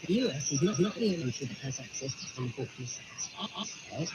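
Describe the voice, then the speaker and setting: A man presenting news, putting questions in a halting, conversational way. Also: fairly high pitch, lively intonation. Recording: very noisy shortwave radio.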